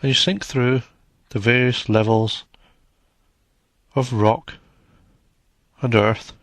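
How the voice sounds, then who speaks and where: A man narrating a guided meditation in a soothing, measured manner, leaving long pauses between short phrases. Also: mid-range voice, fairly even intonation, a bit bright, no room sound.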